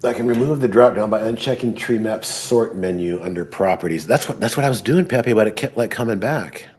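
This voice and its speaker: A man hosting a live trading show, thinking aloud as he works, his voice hoarse and fading. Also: on the low side, animated, fairly quick, a little mellow. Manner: thinking aloud while working on screen.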